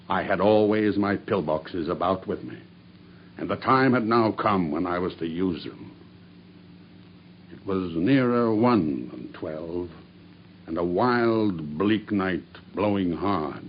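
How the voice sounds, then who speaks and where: A deep-voiced vengeful cab driver. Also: male voice. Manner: grim, intense storytelling narration. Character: vengeful man, a cab driver hunting his enemy. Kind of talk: 1970s-style radio drama acting. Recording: dull old radio recording with faint hiss.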